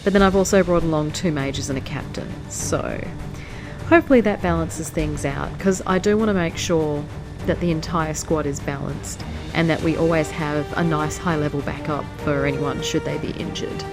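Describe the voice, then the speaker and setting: A woman's voice: low voice, normal speed, over music. A woman with a stuffy, nasal voice from hay fever, chatting casually as she plays through a strategy game. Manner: chatty, easily distracted. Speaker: let's play host. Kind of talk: casual gameplay commentary.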